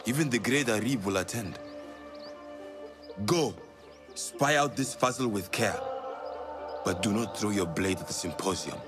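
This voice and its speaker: Man as a commanding spymaster mentor, lowish voice, shrewd, deliberate, video game drama, music behind. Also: close to an everyday voice. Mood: cautious, commanding.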